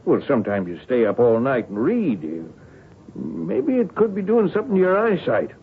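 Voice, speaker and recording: A man's voice: mid-range voice, huge pitch swings, moderate pace, creaky. An actor playing a blunt, folksy, devoted father who coaches and nags, in a radio drama. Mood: anxious, blustering. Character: gruff, pushy, devoted father and coach, middle-aged or older. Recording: tinny phone-like old radio broadcast, faint noise.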